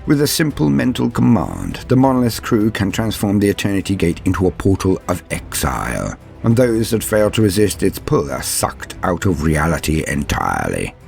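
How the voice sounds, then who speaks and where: A man hosting a lore guide, reading scripted narration in a theatrical, measured and formal manner. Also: sits low, sing-song, vocal fry, darkish tone, faint music behind. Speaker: host of a Warhammer lore guide.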